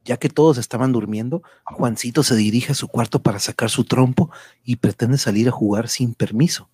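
A man narrating an amateur radio drama from a script, in a somewhat halting reading. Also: medium pitch, a little mellow, husky, no echo, clean studio sound.

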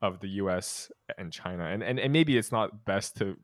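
A man's voice: sits low, moderate pace, no room sound, clean studio sound. A young man from the United States co-hosting a podcast, talking in a thoughtful, hesitant way with many restarts and small laughs. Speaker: podcast co-host. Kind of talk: casual conversational podcast.

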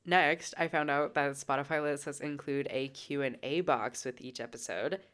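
A woman with a strikingly low voice, audibly congested and stuffed up. She chats casually about a true-crime case, leaning on "like" as she thinks out loud. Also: moderate pace, clean tone. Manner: chatty, heavy on "like" fillers. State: congested from a sinus infection. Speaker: podcast co-host.

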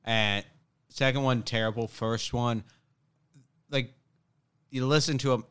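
A man's voice: mid-range voice, steady tone, a little slow, fairly bright tone, clean tone. A man hosting a podcast in casual comedic chat, with an old-school radio voice and a dry, wry manner. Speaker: podcast host.

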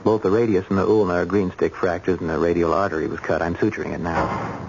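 An actor playing a sober, clinical male hospital doctor reporting gravely in an old-time radio drama. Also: low voice, flat delivery, fast. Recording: dull lo-fi radio recording, faint noise.

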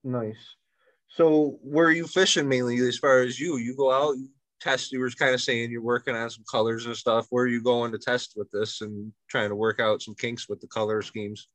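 A man's voice: mid-range voice, fairly even intonation, moderate pace, a bit bright, no room sound. A man hosting a casual interview, friendly and encouraging. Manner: friendly, encouraging, asks questions. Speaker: interview host.

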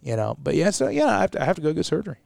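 A man's voice: fairly high pitch, huge pitch swings, rapid-fire, vocal fry, a little mellow, no room sound. A young adult man co-hosting a casual talk show, chatty and rambling, laughing at himself now and then. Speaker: co-host. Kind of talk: casual chat.

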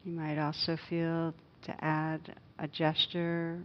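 A woman meditation teacher leading a guided loving-kindness meditation in a gentle, hushed, unhurried manner with long pauses. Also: sits low, monotone, muffled recording, slight background noise.

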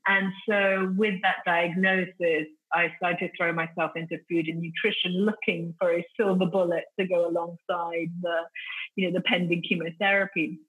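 A woman's voice: fairly low pitch, flat delivery, moderate pace, breathy edge, narrow, tinny audio. A middle-aged woman from Italy, raised in the UK, explaining things articulately as an interview guest. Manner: articulate, explanatory, with frequent "you know" and restarts. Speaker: interview guest, company founder. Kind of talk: interview answers.

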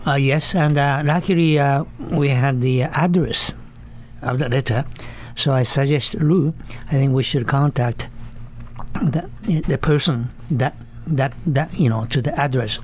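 A man in his eighties with a Japanese accent, recounting as an interview guest, haltingly and repeating words. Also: mid-range voice, a little mellow. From Kyoto, Japan. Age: 80s. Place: studio.

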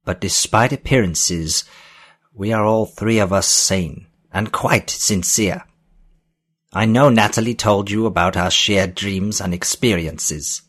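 A man's voice: on the low side, slow, fairly bright tone, voice pushed up. A man voicing a frail old man in an audiobook, speaking formally with solemn, insistent authority.

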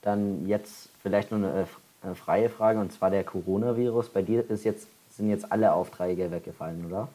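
A man's voice: fairly low pitch, fairly even intonation, moderate pace, few pauses, mellow. A man acting as interviewer, asking questions and responding in a casual conversational style.

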